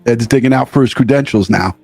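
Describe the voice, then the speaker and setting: A man's voice: medium pitch, quick pace, gravelly, a little mellow, slightly rough, no echo, soft background music. A man hosting a live true crime commentary, speaking bluntly and with strong opinions. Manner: blunt, swearing.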